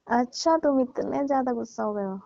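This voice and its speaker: A woman's voice: high voice, brisk, gravelly, mellow, dry recording. A woman playing a married older lover in a phone call drama, coaxing and teasing as she placates her sulking younger man. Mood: placating. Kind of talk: scripted prank-style phone call drama in colloquial Hindi. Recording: dull lo-fi phone call recording.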